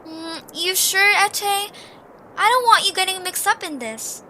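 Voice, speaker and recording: A woman's voice: extremely high pitch, measured pace, sharp tone, full character voice. A woman voicing a small, timid, earnest young adventurer in an audio drama. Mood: concerned, grateful. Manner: hesitant, earnest. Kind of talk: cartoonish audio drama acting. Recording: faint background noise.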